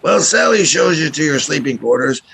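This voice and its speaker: An actor playing a jovial, welcoming lobster boat captain in a radio play. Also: male voice, a little high, animated, normal speed, gravelly, fairly bright tone. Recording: faint background noise.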